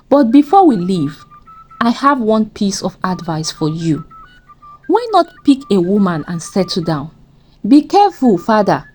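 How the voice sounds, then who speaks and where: A woman's voice: mid-range voice, warm tone. A woman with a Nigerian accent playing an earnest grown son admonishing his father in a folktale. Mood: stern warning.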